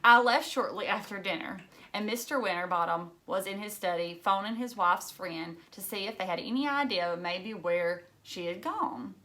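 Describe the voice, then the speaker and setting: A woman narrating a children's novel in an expressive read-aloud style. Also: mid-range voice, moderate pace. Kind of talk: expressive storybook reading.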